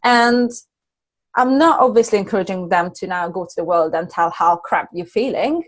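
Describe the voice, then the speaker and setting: A middle-aged woman from Hungary with a Hungarian accent, talking earnestly as a guest business coach, halting and restarting often. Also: lowish voice. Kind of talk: casual interview chat.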